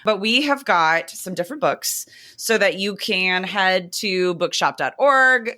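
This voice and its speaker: A woman co-hosting a teaching podcast, chatty and rambling, her voice slightly hoarse from the end of the school year. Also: lowish voice. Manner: chatty, animated, rambling with fillers. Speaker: podcast co-host. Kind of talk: casual chat.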